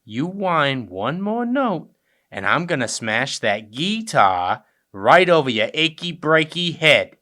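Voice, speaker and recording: Radio comedy brother: male actor as sarcastic, exasperated sibling, sharp-edged and swooping in pitch. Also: big pitch swings, takes it slowly, heavily acted. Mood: exasperated, threatening. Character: irritable, sarcastic adult brother, bickering and indignant. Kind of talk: comedic radio play acting.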